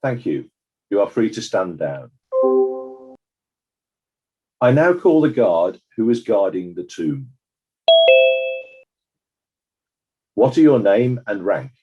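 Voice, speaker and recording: Man playing stern inquest judge, animated intonation. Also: on the low side, dull audio. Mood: formal. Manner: formal, measured, probing. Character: stern, sceptical coroner questioning witnesses at an inquest. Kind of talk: scripted courtroom drama.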